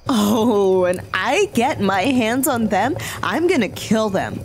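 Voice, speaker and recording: Animated, high-voiced, hot-tempered demon. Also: female voice, takes it slowly, soft background music. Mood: indignant. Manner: animated, blunt, casual. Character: excitable, hot-tempered, curious demon woman, bound in slavery. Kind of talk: audio fiction podcast acting.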